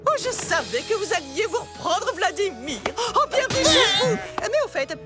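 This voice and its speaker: Cartoon clinic lady, gushing, extremely high and lively, loud music underneath. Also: moderate pace. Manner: gushing, hesitant. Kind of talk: cartoon voice acting.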